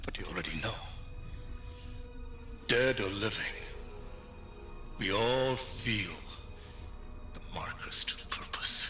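Slow, menacing fanatical doctor. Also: male voice, lowish voice, animated, full character voice. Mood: zealous menace. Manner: slow, measured, hushed menace. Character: calm, menacing fanatical male doctor, zealous villain. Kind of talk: dramatic video game acting. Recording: echoey room, loud background music.

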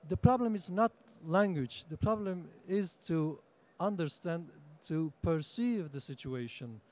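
A young man whose first language is Kurdish, speaking at a book-fair panel into a table microphone, halting with many fillers and restarts. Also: on the high side, lively intonation, dark tone. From Turkey (Kurdish). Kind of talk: panel discussion talk. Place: conference room at a book fair.

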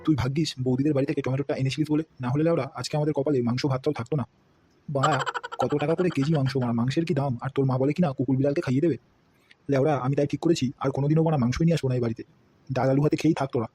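A man's voice: medium pitch, steady tone, racing, slight background noise, lightly acted. A man voicing a grumpy, foul-mouthed middle-aged father in a cartoon, grumbling and swearing constantly. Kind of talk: cartoon voice acting. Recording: loud background music.

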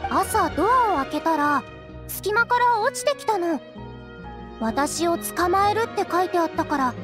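A woman playing an innocent, trusting little nonhuman girl in a Japanese game dub, with sweet, artless, childlike phrasing. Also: very high voice. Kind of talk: Japanese anime game voice acting. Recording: music behind the voice. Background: game background music.

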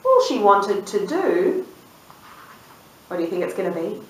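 A woman in her thirties reading a picture book aloud to young children, expressive and sing-song, drawing out words and putting questions to the listener. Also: mid-range voice, a little slow, a little hiss or hum. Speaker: children's storyteller and channel host. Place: indoors in a room, seated before a dark backdrop. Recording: roomy, distant microphone.

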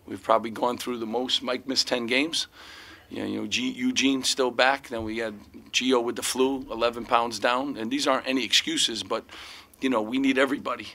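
Man, middle-aged, basketball coach, bright tone, table mic, rambling with fillers. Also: medium pitch, steady tone, moderate pace, hardly any pauses. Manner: rambling, frequent "you know" fillers and restarts. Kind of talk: postgame press conference answers. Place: press room in front of sponsor backdrop. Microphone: table mic in front of him.

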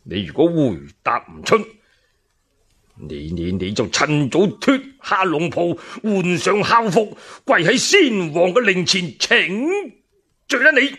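Man playing an indignant old minister, swooping, husky voice. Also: high-pitched, big pitch swings, bright, forceful tone, full character voice. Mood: accusing. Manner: stammering with outrage, declamatory, drawn-out. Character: indignant old loyal minister, righteous and accusing. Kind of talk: one-man Cantonese radio storytelling drama.

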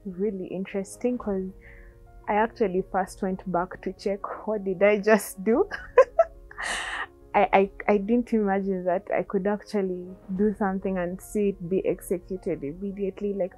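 A woman's voice: medium pitch, steady tone, measured pace, a little mellow, slightly rough, low-quality recording. A young woman speaks in an eager, searching way, stumbling over repeated words as she reflects. Her voice sits in the middle of the female range, picked up by a lapel mic outdoors with faint music underneath. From Uganda. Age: young adult. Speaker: coding student. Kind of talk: interview testimonial. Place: outdoor terrace.

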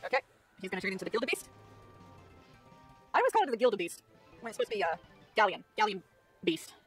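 A woman chats her way through a video game, her voice a little raspy and strained. She talks at a middling pitch with quick asides to herself and the viewers. Faint game music plays behind her. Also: very animated. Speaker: gamer playing a game on video. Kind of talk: casual let's play commentary.

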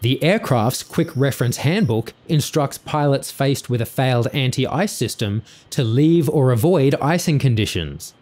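A man's voice: medium pitch, lively intonation, measured pace, hardly any pauses, a little mellow. A man reading scripted explanatory narration for an aviation incident video.

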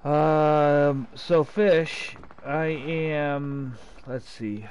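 A man's voice: on the high side, fairly even intonation, extremely slow, darkish tone. A man in his thirties chats casually while gaming alongside his young son. He keeps up a running stream of playful, mock-serious jokes. A faint hiss of background noise sits under his voice. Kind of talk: casual gameplay chat.

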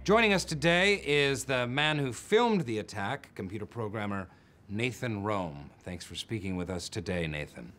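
An actor playing a confrontational TV interviewer, theatrical, sarcastic and pointed in a dramatized news interview. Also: male voice, on the low side, animated, moderate pace, a bit bright. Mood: dramatic.

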